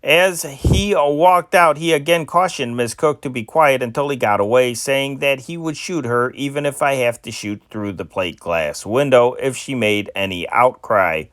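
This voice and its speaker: A man's voice: mid-range voice, normal speed, few pauses, fairly bright tone, no room sound, studio quality. Amateur man reading old newspaper stories. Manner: amateur reading with stumbles, repeats and self-corrections. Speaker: news reader.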